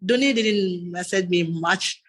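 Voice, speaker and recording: A man in his thirties with a Sri Lankan accent talks in a bright, clear tone. He rambles loosely through his story as a podcast guest, looping back with filler phrases. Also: high-pitched, measured pace, a little hiss or hum. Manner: rambling, repeats "and after that" and "you know". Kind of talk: casual interview chat.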